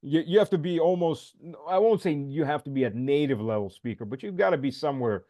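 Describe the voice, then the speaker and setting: A middle-aged man whose first language is Russian hosts a casual interview over a video call. His voice is dark and mellow, the sound dry and close, and he talks in a blunt, emphatic way, repeating points for weight. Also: on the high side, lively intonation, brisk, no echo. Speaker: podcast host.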